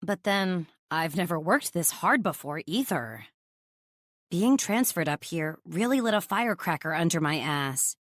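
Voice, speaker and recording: A woman's voice: fairly low pitch, expressive, measured pace, no echo, studio quality. A woman playing a modest, plucky office admin in first-person audiobook narration and dialogue, candid and self-deprecating.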